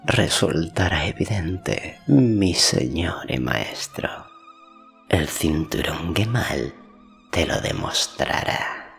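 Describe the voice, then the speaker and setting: A man voicing a sly, servile sorceress in an audiobook, hushed and breathy, insinuating with deference. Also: low voice, sing-song, slow pace, soft background music, heavily acted. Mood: quietly scheming. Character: sly, servile female sorceress whispering poisonous counsel.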